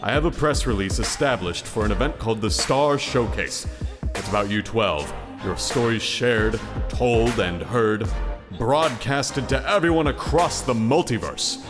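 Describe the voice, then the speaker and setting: A man voicing an earnest, hesitant, self-doubting giant hand who mentors heroes in an animated comedy. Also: mid-range voice, a little slow, close to an everyday voice. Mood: earnest, encouraging. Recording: long reverb, music behind.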